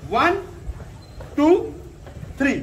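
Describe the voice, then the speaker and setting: A man's voice: drawn-out words, sharp tone. A man teaching a karate class in a commanding way, explaining, questioning and calling out drills. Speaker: karate instructor. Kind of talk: live class instruction with drill counts and commands. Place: open concrete courtyard dojo at night. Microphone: distant camera microphone. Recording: echoey, distant, noisy courtyard ambience.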